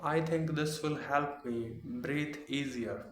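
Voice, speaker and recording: A man's voice: fairly high pitch, takes it slowly. Hesitant Indian man from Haryana. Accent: Haryanvi Indian English. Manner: hesitant, searching for words. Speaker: English learner. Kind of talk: practice conversation. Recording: roomy room with noise.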